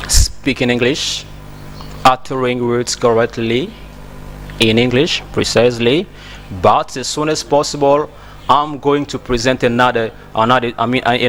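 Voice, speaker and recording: A man's voice: mid-range voice, steady tone, unhurried, a bit bright, a little hiss or hum. A man from Congo, hosting a TV English lesson in an emphatic, encouraging way that repeats words. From Democratic Republic of Congo. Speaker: English teacher and TV host. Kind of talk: spoken English lesson to TV viewers.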